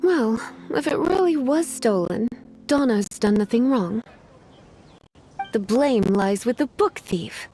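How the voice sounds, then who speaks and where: A coy, sultry librarian from an anime game. Her fairly high voice lilts widely with a teasing languor and a menacing edge, over faint music. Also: lively intonation, takes it slowly, dark tone. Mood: coolly menacing.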